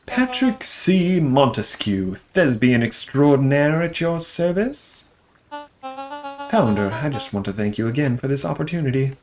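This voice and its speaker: Man doing a high, flamboyant thespian. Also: very animated, a little slow, music in the background. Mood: preening. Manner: florid, grandly theatrical. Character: flamboyant male thespian, preening, fawning hired actor. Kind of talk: video game character voice acting.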